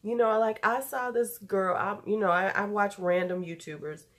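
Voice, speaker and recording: A woman's voice: mid-range voice, steady tone, moderate pace. A woman in her forties with a stuffy, nasal voice, losing her voice, chatting loosely and drifting off topic in an unedited beauty vlog from home. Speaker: beauty YouTuber.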